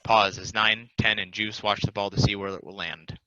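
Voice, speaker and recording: A man's voice: fairly low pitch, level tone, normal speed, sharp tone, no echo, lo-fi sound. A man reading out stage directions as scripted narration for a play.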